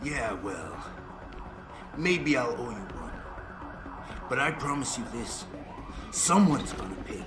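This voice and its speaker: An actor playing a volatile, cornered hostage taker in a video game, agitated and full of cocky street slang. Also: male voice, medium pitch, sing-song, takes it slowly, long pauses. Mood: rattled, vengeful. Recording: echoey game audio under loud music.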